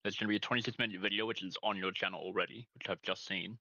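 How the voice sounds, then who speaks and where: A deep-voiced man whose tone still has a bright, forceful edge, chatting casually with fellow players. He gives matter-of-fact updates, and the sound is dry and close. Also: fairly even intonation, racing, no room sound, highs cut off. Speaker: tabletop game player. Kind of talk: casual chat.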